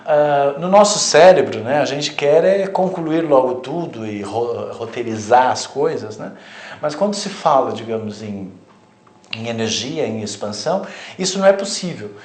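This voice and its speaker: A man's voice: medium pitch, lively intonation, moderate pace, darkish tone, echoey room. Brazilian man explaining spiritual ideas. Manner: reflective, explanatory, frequent "né" tags. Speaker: therapist, guest. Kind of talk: spiritual interview talk.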